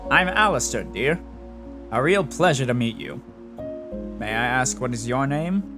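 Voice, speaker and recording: A man voicing a suave, genteel, coolly menacing gentleman hunter in a dramatic comic dub, with wordy courtly phrasing. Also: medium pitch, expressive, a little slow. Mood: soothing, courtly. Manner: genteel, wordy, calls people dear and darling. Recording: voice over clear background music.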